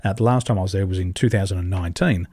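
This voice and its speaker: An Australian man, a podcast guest, speaking on a video call and telling animated stories, acting out dialogue with big leaps in pitch and emphatic repetition. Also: racing, slightly rough, dry recording, clean studio sound. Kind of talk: animated conversational storytelling. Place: home in Taiwan, over a video call.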